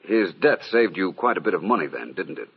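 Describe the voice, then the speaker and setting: Suave low-voiced radio detective. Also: male voice, big pitch swings, a little fast, husky, exaggerated voice. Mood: coolly probing. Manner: polite, probing, dryly understated. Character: suave, shrewd, courteous gentleman private detective. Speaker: radio drama actor. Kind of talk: old-time radio mystery acting. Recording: dull, muffled old radio recording.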